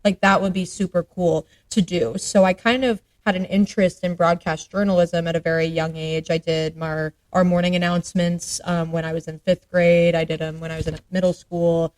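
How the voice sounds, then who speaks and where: Upbeat woman guest from around atlanta, late 20s, kinda low voice, lots of ums. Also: little pitch movement, normal speed, few pauses, a little mellow, poor audio. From Atlanta area, Georgia. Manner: chatty, upbeat, many ums. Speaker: guest sports journalist. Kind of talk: casual interview chat.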